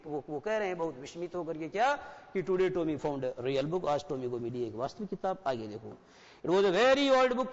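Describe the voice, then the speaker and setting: A man in his forties teaching a class, who explains step by step and keeps asking the students questions. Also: a little high. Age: 40s. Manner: mixes Hindi and English. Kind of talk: classroom lecture. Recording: dull broadcast audio with long reverb.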